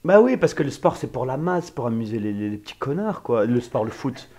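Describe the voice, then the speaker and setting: Man, from spain, podcast co-host, opinionated, animated, very lively pitch. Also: brisk. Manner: animated, opinionated, mimics people in passing. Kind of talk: casual podcast chat.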